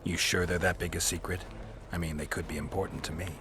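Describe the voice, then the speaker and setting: An actor playing a stoic male monster hunter in a video game, terse and deadpan with dry, laconic delivery. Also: deep voice, fast, noisy background, natural voice. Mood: dry, guarded. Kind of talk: video game dialogue acting. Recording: music behind the voice.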